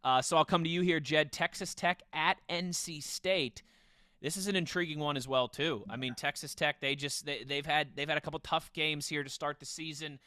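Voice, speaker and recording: A man with a bright, fairly high voice hosts a casual football podcast. He sounds crisp and conversational as he steers the talk, like someone leading a panel. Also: steady tone, moderate pace, no echo, studio quality. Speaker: podcast host.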